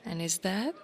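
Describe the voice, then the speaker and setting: A woman playing an insecure, mocking young female dragon bully in an ASMR roleplay, with a taunting, drawling delivery. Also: medium pitch. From Italy.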